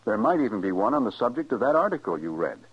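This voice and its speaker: Husky, animated American radio announcer. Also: male voice, mid-range voice, quick pace. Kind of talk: scripted old-time radio public service announcement. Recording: muffled old radio recording.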